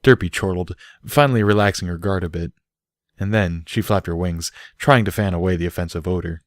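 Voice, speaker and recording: Male narrator, lowish pitch, scripted storytelling, audiobook reading, dry sound. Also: a little fast, no room sound. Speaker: audiobook reader.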